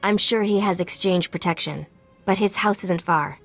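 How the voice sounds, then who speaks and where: A woman's voice: lowish voice, normal speed, fairly bright tone, soft background music. A woman playing a wry, wary underground informant in a video game, dry and matter-of-fact. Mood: dry, informative. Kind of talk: video game dialogue acting.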